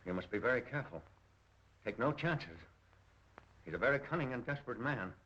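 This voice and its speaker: An actor playing a frightened male suspect turned informant in a 1930s mystery film, grave and halting. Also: mid-range voice, expressive, sharp tone, breathy edge. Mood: grave, fearful. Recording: dull, lo-fi 1930s film soundtrack.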